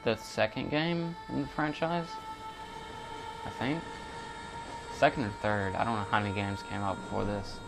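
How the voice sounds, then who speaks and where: A man records a casual let's play in a fairly low voice. He rambles and thinks aloud as a gaming YouTuber. Game music plays clearly behind him. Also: steady tone, moderate pace, long pauses, rough recording.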